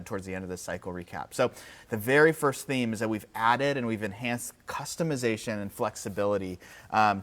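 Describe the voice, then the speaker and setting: A man hosting a live product presentation in an informal, slightly halting, conversational way. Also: fairly low pitch. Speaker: livestream host presenting product updates.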